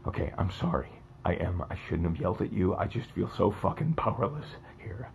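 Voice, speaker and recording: A curt, irritable American husband. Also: male voice, low-pitched, animated, fairly quick, gravelly, a little mellow, dull audio, a little hiss or hum. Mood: defeated, remorseful. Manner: curt, profane. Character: irritable small-town husband, worn down and blunt. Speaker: actor in an audio drama. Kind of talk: naturalistic audio fiction acting.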